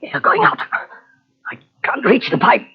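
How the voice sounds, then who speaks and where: A woman's voice: a little slow, natural voice. A woman playing a desperate trapped victim suffocating without air, gasping and pleading in broken fragments, in old radio horror style. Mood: frantic, demanding. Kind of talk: 1940s radio horror acting. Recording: muffled, band-limited old radio tape.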